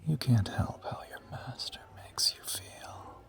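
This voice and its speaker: A man voicing an ancient, suave vampire in an intimate romantic audio roleplay, teasing and possessive, with a breathy whisper and formal, condescending phrasing. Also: slow pace, close to an everyday voice. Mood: teasing, amused.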